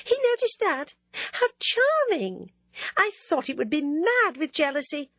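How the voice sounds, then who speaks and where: A woman's voice: high voice, expressive, normal speed, a bit bright, dry recording, clean studio sound, pitched above the performer's own voice. A woman voicing a vain, teasing young woman who gushes and drops French words into her talk. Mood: delighted.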